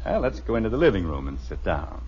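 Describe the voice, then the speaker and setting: A man's voice: sits low, sing-song, fast, a little mellow, slightly rough, heavily acted. A man playing a grumbling middle-aged American family father in a radio sitcom, dry, exasperated and wisecracking. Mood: dryly amused. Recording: dull, noisy vintage radio recording.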